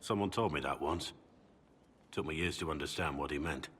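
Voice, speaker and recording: A deep-voiced, brooding warrior of middle age, honourable and gruff yet tender. He speaks with measured weight in video game dialogue. Ambient noise sits clearly behind him. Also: fairly bright tone. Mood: earnest, reflective. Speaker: video game character voice actor.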